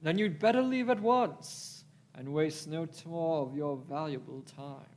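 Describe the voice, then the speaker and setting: A man's voice: a little high, measured pace, a little hiss or hum. A man playing a cold alien villain in a radio play, formal, commanding and threatening. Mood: cold menace. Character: cold, menacing alien villain serving the Daleks. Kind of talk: live radio-play acting.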